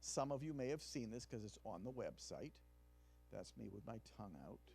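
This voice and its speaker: A man's voice: on the low side, lively intonation, moderate pace, vocal fry, darkish tone, breathy edge, faint music behind. A middle-aged male clinical psychologist giving a conversational workshop lecture into a handheld mic in a conference room, now and then asking the audience questions.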